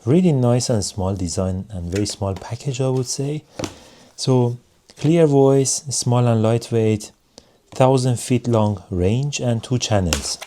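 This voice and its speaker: A man giving a casual hands-on product review in measured, non-native English phrasing. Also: mid-range voice, mellow, a little hiss or hum. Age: adult.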